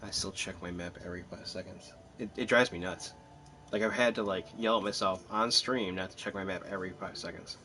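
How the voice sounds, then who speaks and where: A man's voice: sits low, fairly even intonation, brisk, pushed and bright, faint music behind. A man casually commentating on his live game stream, chatty and self-deprecating, thinking aloud as he plays.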